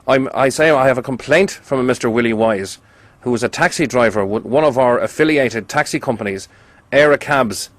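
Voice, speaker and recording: A man with an Irish accent playing an officious, deadpan taxi association official on a prank call, in hesitant, mock-formal language. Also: medium pitch, normal speed, natural voice. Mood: officious, grave. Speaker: radio prank caller.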